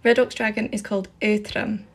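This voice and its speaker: Husky, gravelly woman explaining pronunciations. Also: mid-range voice, little pitch movement, fairly quick. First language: Scottish Gaelic speaker. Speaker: TikTok creator explaining pronunciation. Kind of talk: scripted explainer clip.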